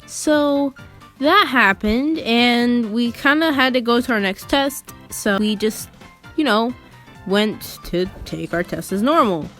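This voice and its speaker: A woman's voice: on the high side, slow pace, a bit bright. A teenage girl telling a personal story in a casual, rambling, conversational way with lots of filler words. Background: background music.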